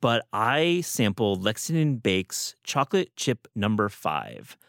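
A man with a fairly deep voice hosts a relaxed food chat. He talks in loose slang and is openly enthusiastic. The sound is very dry, with no room on it. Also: takes it slowly, gravelly, no room sound. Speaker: podcast host.

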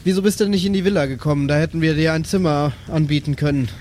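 A man's voice: fairly high pitch, fairly even intonation, rapid-fire, a little mellow, slight background noise. A man playing casually in an improvised tabletop game, with a dry, blunt way of talking. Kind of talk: improvised casual in-character play.

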